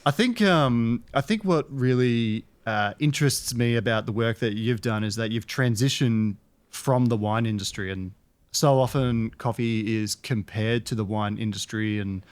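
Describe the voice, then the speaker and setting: Male podcast host, mid-pitched, friendly and easygoing interviewer. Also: normal speed, smooth, no echo. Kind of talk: casual interview chat.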